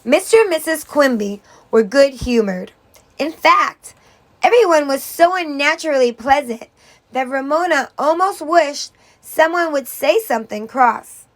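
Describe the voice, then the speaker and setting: A woman with a high voice reads a children's story as a teacher would. Her delivery is slow and deliberate, with expressive storytelling. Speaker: teacher reading aloud. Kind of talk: children's story read-aloud.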